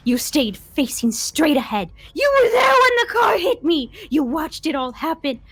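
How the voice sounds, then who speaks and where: A woman voicing a shrieking, vengeful girl ghost who taunts in a high, piercing voice. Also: a little slow, no room sound. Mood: accusing.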